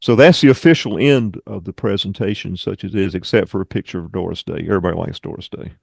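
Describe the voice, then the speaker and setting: A man's voice: low voice, fast, vocal fry, darkish tone, breathy edge, no echo. A man giving an informal club presentation over a video call, with rambling, folksy asides.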